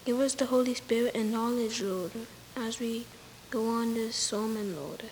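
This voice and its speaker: A high-pitched female voice offers a spontaneous prayer. She speaks in short phrases with a repeated address. A faint hiss sits behind her. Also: normal speed, darkish tone, poor audio. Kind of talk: spoken extempore prayer.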